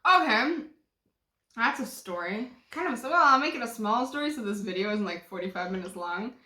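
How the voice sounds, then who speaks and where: A woman's voice: a little high, lively intonation, a little fast, bright, forceful tone, reverberant. A woman in her early twenties chatting casually at home in her living room, rambling and laughing often. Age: 20s. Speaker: vlogger. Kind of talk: casual chat.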